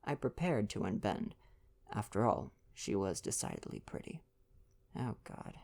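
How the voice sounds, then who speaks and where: A woman reads a bedtime story in a gentle ASMR style. The voice is very low for a woman, dark and mellow, with a creaky edge. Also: big pitch swings, a little slow, slight background noise. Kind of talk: soft bedtime audiobook reading with casual asides.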